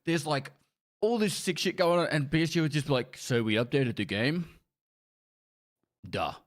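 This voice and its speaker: A man's voice: a little high, lively intonation, moderate pace. A man hosting a podcast, chatting in a jokey, exasperated way. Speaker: podcast host. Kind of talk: casual podcast chat.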